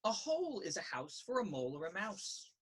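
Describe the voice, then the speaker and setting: A woman reading a children's picture book aloud in a sing-song, rhythmic way, with a slightly husky voice. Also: medium pitch, very animated, a little fast. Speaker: story reader. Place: indoors in a children's library.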